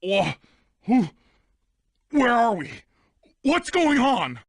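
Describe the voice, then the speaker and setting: A man voicing a big, simple, eager hippo sidekick in a video game, with a childlike gravelly voice. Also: very animated, very slow, no room sound, clean studio sound, full character voice. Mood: anxious. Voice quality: gravelly, high. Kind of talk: video game character voice acting.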